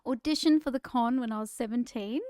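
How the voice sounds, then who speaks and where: High, smooth-voiced Australian woman. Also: a little fast, warm tone, no room sound, clean studio sound. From Queensland, Australia. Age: 40s. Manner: chatty, self-deprecating storyteller. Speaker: podcast co-host. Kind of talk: casual conversational podcast chat.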